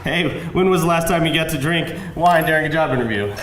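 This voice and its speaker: An actor playing a courteous, chatty job interviewer eager to charm, in a live screenplay table read, insistent and rather formally polite. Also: male voice, on the high side, moderate pace, a crowd in the background, natural voice. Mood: assured. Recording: echoey live room, faint noise.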